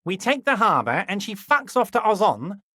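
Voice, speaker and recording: A man's voice: high voice, big pitch swings, moderate pace, pushed and bright, dry recording, studio quality, full character voice. A male actor playing a smug, wily, older union boss in a video game, chummy, sly and full of mock innocence. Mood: earnest, scheming. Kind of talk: video game dialogue acting.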